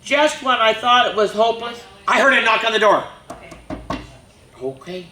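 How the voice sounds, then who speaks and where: A woman's voice: on the high side, moderate pace, bright, forceful tone, reverberant, slight background noise. A woman reading a rhyming picture book aloud to children, with chatty asides between pages. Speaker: children's storyteller.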